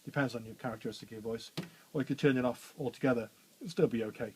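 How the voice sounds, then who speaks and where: A man with a bassy voice, chatting informally through a radio studio training session with frequent fillers and restarts. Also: racing, slightly rough, poor audio. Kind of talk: hands-on technical demonstration.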